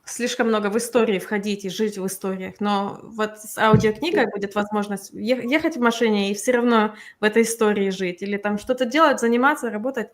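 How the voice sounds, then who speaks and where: A woman's voice: medium pitch, fast, hardly any pauses. A woman presenting and interpreting in an informal, earnest, conversational way at a live book discussion.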